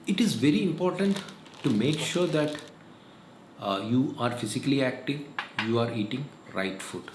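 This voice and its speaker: A man's voice: mid-range voice, expressive, moderate pace. A man, giving earnest, repetitive health advice in a casual vlog monologue. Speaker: vlogger. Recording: echoey room, faint noise.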